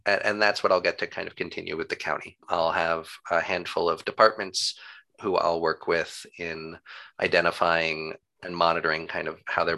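A man with a deep, bright voice and plenty of vocal fry talks as an interview guest. He explains his work at length, in an upbeat and measured conversational way. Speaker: interview guest, town government employee.

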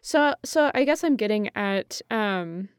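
A woman's voice: mid-range voice, expressive, measured pace, clean tone, no room sound, clean studio sound. An American woman co-hosting a thoughtful conversational discussion, somewhat tentative as she feels her way to the right phrasing. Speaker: podcast co-host.

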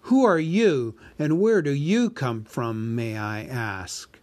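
Man playing a mocking menacing dragon, storybook, slow drawl, dry close sound. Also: mid-range voice, darkish tone, dry recording, voice pushed up, close to an everyday voice. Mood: sneering. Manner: slow, sneering, drawn-out speech. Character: huge cunning male dragon, mocking and menacing.

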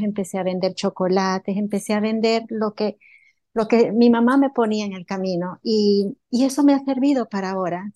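A woman's voice: mid-range voice, mellow. A woman from Bolivia answering interview questions in an earnest way, with many filler sounds. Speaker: entrepreneur guest.

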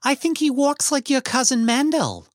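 Nagging old woman, high swooping voice. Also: sing-song, normal speed, dry recording, clean studio sound. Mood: nettled. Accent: Yiddish-inflected American English. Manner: querulous, rhetorical questioning. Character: nagging old immigrant wife, sharp-tongued, indignant.

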